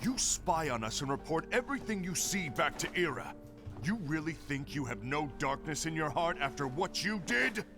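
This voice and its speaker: A man playing a grave, forceful leader in a video game, stern and persuasive. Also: fairly high pitch, animated, normal speed, music in the background. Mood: accusing. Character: grave, forceful male leader urging alliance. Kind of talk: video game cutscene acting.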